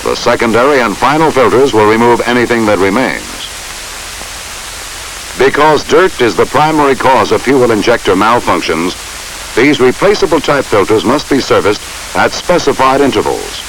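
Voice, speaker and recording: Man narrating, animated pitch, hissy film soundtrack. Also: poor audio. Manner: measured, formal, instructional delivery. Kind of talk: scripted instructional narration.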